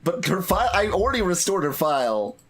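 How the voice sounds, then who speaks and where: A man playing through a video game, musing aloud and halting with fillers. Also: high-pitched, very animated. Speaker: game streamer. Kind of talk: voiced video game playthrough.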